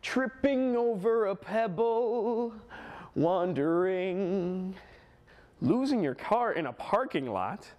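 A woman's voice: medium pitch, lively intonation, slow pace, a little mellow. A woman, a drama student, performing a dramatic reading of a written piece.

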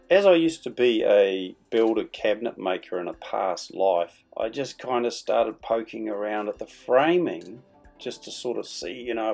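A man's voice: on the low side, creaky, a little mellow. A middle-aged man narrating a vlog in a chatty, playful, colloquial way over music. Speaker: vlog host. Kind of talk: casual vlog storytelling voice-over. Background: background music.

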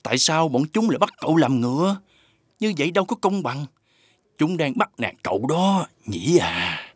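A man voicing a scheming male gecko who coaxes and goads like a martial mentor in an animated fairy tale. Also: a little high, normal speed, no echo, clean studio sound. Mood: goading, insinuating. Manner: insinuating, coaxing, with theatrical emphasis.

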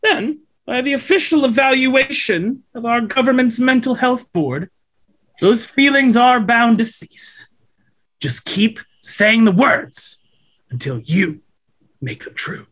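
Officious counsellor man acting, bright forceful tone, unhurried, animated pitch, table read on video call. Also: exaggerated voice, low-quality recording. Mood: measured, official.

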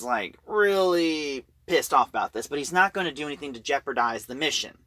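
A man giving an informal story recap as a reviewer, talking in a halting, conversational way. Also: fairly high pitch, sharp tone, no echo. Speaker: audio drama reviewer.

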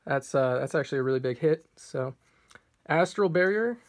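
A man casually narrating a card pack opening off camera, reading out card names, a little hoarse. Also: fairly high pitch, moderate pace. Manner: relaxed, reads card names off one by one. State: slightly hoarse, clearing throat. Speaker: card collector opening packs. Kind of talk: casual unboxing commentary. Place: indoors at a table.

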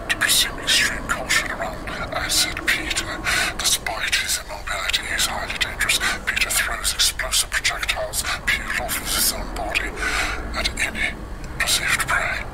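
An actor playing a grim scientist survivor, narrating research logs for a game in a hushed, breathy, measured and dramatic way. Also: male voice, mid-range voice, heavy background noise, natural voice. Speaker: narrator of in-game cassette recordings.

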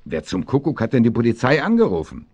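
An actor playing a brisk, authoritative, wry policeman in a German children's radio play. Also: medium pitch, huge pitch swings, darkish tone, husky. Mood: commanding. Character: brisk, commanding policeman arresting crooks. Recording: dull 1970s audio drama recording.

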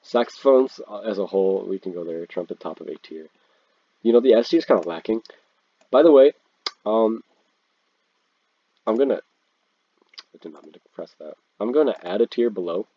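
Congested young guy, low husky voice. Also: expressive, moderate pace, pauses often. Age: teens. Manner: laid-back, slangy, swears freely. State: congested from a cold. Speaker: video creator ranking instruments. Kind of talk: casual screen-recorded commentary. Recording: dull, lo-fi, dry sound.

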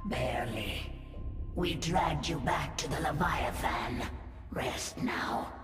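A woman voicing a proud, ferocious alien swarm broodmother in a video game, imperious, deliberate and menacing, devoted to her queen. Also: rumbling low. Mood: fierce, proud. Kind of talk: video game character acting. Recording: echoing, reverberant, distant, over game music.

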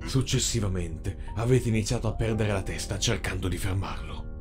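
A man voicing a cruel, mocking dark tentacled overlord in an animated fan dub, speaking with menacing mock politeness. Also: on the low side, normal speed, natural voice. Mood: calm, taunting. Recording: music behind the voice.

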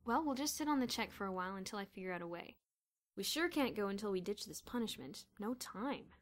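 A woman voicing an excitable, bossy schoolboy schemer who exclaims and gives orders in a storybook narration. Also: medium pitch, fairly quick, close to an everyday voice. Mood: scheming. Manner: exclamatory, commanding.

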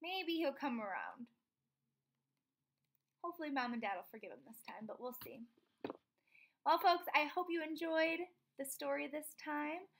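A woman in her twenties reads a picture book to children. Her voice is high and bright, with animated, swooping intonation. She leans on key words and talks directly to her young listeners. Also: gravelly. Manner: animated, expressive, emphatic pauses on key words. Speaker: children's storybook reader. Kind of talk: read-aloud storytime with questions to children. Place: small room at home.

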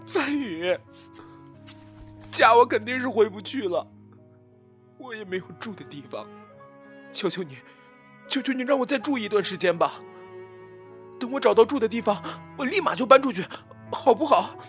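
Guy playing a clingy young man begging his ex in an audio drama, high voice, swings all over, music behind. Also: expressive, normal speed, long pauses. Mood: desperate, pleading. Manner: imploring, emotional, insistent. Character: young man, rejected ex-lover, pleading and desperate. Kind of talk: web radio drama acting.

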